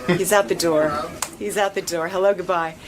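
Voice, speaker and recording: A woman's voice: on the low side, fairly even intonation, normal speed, crowd noise. A woman who is a guest actress, chatting casually with lots of repeated words and laughs. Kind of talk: casual chat.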